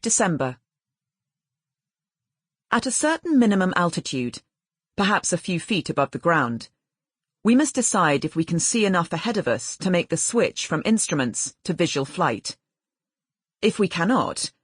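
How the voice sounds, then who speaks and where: Female audiobook narrator, low-pitched and husky, measured literary read with expressive delivery. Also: dry recording, clean studio sound.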